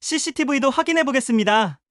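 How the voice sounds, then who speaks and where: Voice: high-pitched, fast, fairly bright tone, no room sound, clean studio sound. An actor playing a polite, businesslike detective in an audio drama. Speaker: police detective.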